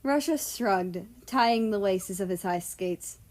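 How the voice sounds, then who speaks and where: A woman's voice: mid-range voice, animated, takes it slowly, a little mellow. A woman narrating a scripted story in a storybook style. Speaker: fan fiction narrator.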